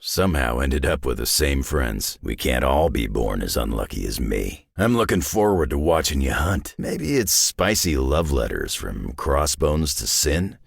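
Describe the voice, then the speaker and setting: A man playing a gruff, world-weary, sardonic middle-aged superhero brawler in a video game, with a raspy growl and a blunt, wry drawl. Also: a bass voice, very animated, measured pace, hardly any pauses, dry recording, clean studio sound, exaggerated voice. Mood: dry, weary. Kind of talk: video game character voice lines.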